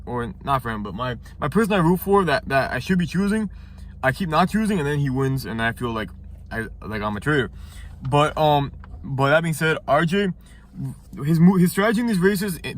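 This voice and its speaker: A man's voice: on the high side, fairly bright tone. A young man with a stuffy, nasal voice from congestion, rambling casually through a competition review with frequent restarts. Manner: rambling, many restarts and fillers. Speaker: ninja competitor reviewing episodes.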